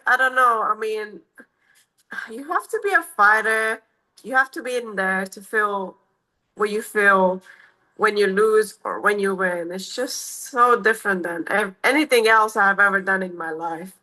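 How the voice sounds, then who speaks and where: Romanian lady, english not her first language, slow and halting, bright voice. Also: mid-range voice, poor audio. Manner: hesitant, many fillers and restarts. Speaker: MMA fighter, guest. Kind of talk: casual interview answers.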